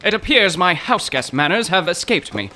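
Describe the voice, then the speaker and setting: An actor playing an excitable, wordy poetry professor in a comic mystery audio drama, florid, overwrought and prone to stammering. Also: male voice, sits high, fairly quick, heavily acted. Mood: agitated.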